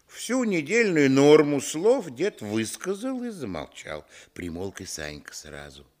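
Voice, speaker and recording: An actor playing a grown man recalling his boyhood, narrating a radio play in a wry, expressive way. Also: on the high side, a little slow.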